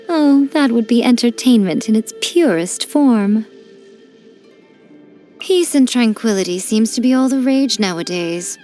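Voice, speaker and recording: A woman's voice: medium pitch, a little mellow, natural voice. A woman voicing a mischievous fox-spirit shrine priestess in a game, playful and teasing. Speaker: game character. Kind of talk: studio game voice lines.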